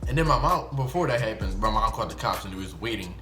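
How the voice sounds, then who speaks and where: A man's voice: fairly low pitch, fast talker. Young American man telling a story over music. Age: young adult. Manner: animated, slangy, re-enacts dialogue. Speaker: YouTube storyteller. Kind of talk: casual first-person storytime vlog.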